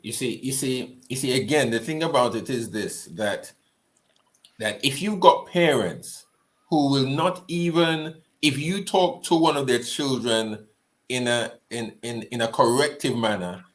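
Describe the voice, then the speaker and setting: A man on a discussion panel, building his point deliberately with repeated openings. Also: mid-range voice. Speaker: panel guest.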